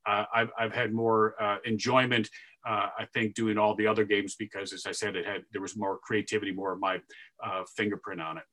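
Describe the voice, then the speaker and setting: A man with a deep voice telling stories in an interview, animated and now and then bursting into loud sportscaster calls. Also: a little fast. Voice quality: deeper voice. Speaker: video game announcer. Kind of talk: interview storytelling.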